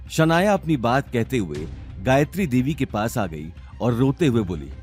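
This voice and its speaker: Male narrator for scripted drama serial: lively intonation, medium pitch, dramatic storytelling over faint music. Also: normal speed.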